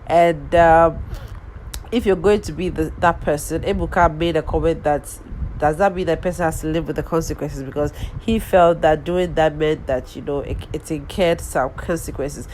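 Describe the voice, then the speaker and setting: Low-voiced woman with a nasal cold. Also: fairly even intonation, normal speed, a little mellow, a little hiss or hum, low-quality recording. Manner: rambling, lots of ums and restarts. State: has a cold, sounds nasal. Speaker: vlogger recapping a reality show. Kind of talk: casual chatty recap.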